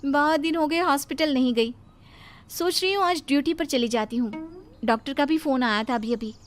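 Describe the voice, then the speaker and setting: A woman's voice: high voice, moderate pace, lightly acted. A woman voicing a headstrong, self-assured young nurse and daughter-in-law, confident and dismissive, in a cartoon story. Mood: defiant. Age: young adult. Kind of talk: cartoon moral story voice acting.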